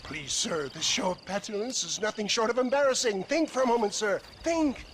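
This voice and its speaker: High-voiced, pompous snake-oil salesman. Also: animated, a little fast, a bit bright, a little hiss or hum. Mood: wheedling. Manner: florid, pompous, hesitant stammering. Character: pompous, wheedling older snake-oil salesman, theatrical huckster. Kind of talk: video game cutscene acting.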